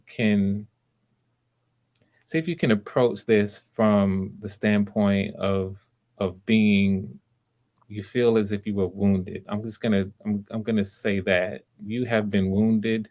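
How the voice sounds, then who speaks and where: A man hosting live auditions, giving casual, encouraging coaching in a halting way with repeated phrases. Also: lowish voice, darkish tone. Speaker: host of live voice auditions, director giving notes.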